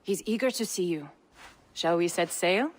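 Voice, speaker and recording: A woman's voice: lowish voice, animated, moderate pace, slight background noise, lightly acted. An actress playing a shrewd, courteous trader woman from the east in a video game, with formal, wry, playful phrasing. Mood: teasing. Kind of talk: video game cutscene acting. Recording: music under the voice.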